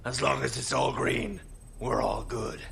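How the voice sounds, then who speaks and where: A man playing a gruff, sarcastic veteran gunnery crewman in an audio drama. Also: mid-range voice, steady tone, measured pace, a bit bright, slight background noise. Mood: scornful, bored. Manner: gruff, scornful, dry.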